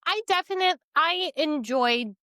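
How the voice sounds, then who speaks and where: A woman's voice: high voice, expressive, unhurried, pushed and bright, no room sound, studio quality. A woman co-hosting a podcast in a casual book chat, chiming in with informal, joking commentary. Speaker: podcast co-host.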